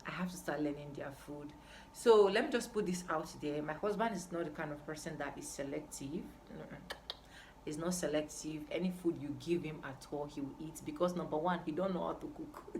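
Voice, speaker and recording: A young woman with a Nigerian accent talks casually to her viewers in a fairly low voice. She is emphatic, often repeating a phrase to stress it. There is faint noise behind her. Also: brisk, a little mellow. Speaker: vlogger. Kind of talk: casual chat to camera.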